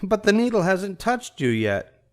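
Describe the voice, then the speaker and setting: Storybook nurse, played by a man: young woman, kind and soothing, pitched up high, very lilting delivery. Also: sing-song, measured pace, darkish tone. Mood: coaxing. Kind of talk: children's storybook read-aloud.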